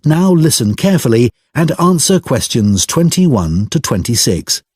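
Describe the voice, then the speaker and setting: A man announces test instructions in a formal, measured way. His mid-pitched voice has a husky grain and varied intonation, recorded dry. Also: big pitch swings, a little mellow, dry recording. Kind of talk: scripted exam instructions.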